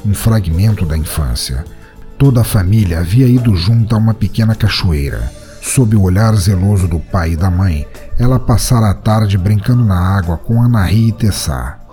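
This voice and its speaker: A man hosting a podcast and narrating a story in a measured, dramatic storytelling manner. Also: on the low side, warm tone, faint music behind.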